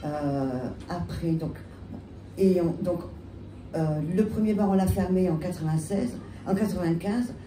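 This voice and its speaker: A woman with a French accent and a head cold, telling her story on a panel with halting restarts. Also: fairly low pitch. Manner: halting, many restarts and fillers. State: has a cold. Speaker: bar owner, panelist. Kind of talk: panel talk, recounting her history. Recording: echoey room, distant mic, loud background noise.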